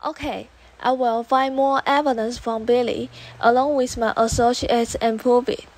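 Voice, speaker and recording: A woman's voice: fairly high pitch, fairly even intonation, moderate pace, very noisy, close to an everyday voice. A woman playing a private detective leading a case in an amateur student radio drama. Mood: businesslike.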